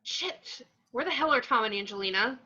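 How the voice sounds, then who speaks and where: A woman's voice: on the high side, animated, moderate pace, pushed and bright, dull audio. A woman playing a melodramatic, indignant young actress in an amateur table reading of a screenplay. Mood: nervous. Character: panicky, melodramatic young actress, a bickering drama queen.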